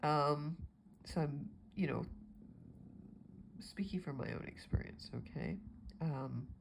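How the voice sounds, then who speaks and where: A woman's voice: low-pitched, slow, creaky, background noise. A woman astrologer with a stuffy nose from a cold, talking through a forecast in a meandering, thinking-aloud way.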